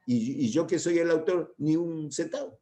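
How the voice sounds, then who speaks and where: Older man lecturing, mellow and rambling. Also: a little high, normal speed. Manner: rambling, hesitant, full of "¿ya?" and "¿no?" tags. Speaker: university medical lecturer. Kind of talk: informal lecture to students.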